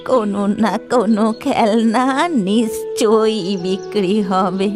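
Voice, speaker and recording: Slow-speaking old grandmother played by a woman. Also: mid-range voice, fairly even intonation, over music, natural voice. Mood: gently encouraging. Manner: slow, drawn-out, emphatic. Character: kindly, commanding old village grandmother, secretly divine. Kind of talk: cartoon story acting.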